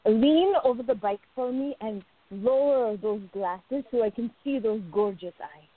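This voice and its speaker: A woman's voice: a little high, measured pace, narrow, tinny audio. A woman playing a smitten, eager, affectionate young woman in a radio play. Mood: coaxing.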